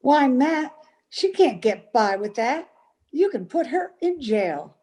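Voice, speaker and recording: An actress playing a sharp-tongued, protective Old West woman in a radio western, blunt and emphatic in manner. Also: sits high, sing-song, slow pace, exaggerated voice. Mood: alarmed, insistent.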